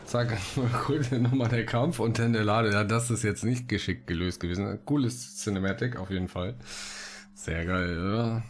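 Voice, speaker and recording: A man with a fairly low voice, casually narrating a video game with a webcam on his desk. His comments come out loose and enthusiastic, full of everyday slang, with soft game music playing underneath. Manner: relaxed, chatty, enthusiastic slang. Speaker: let's play host.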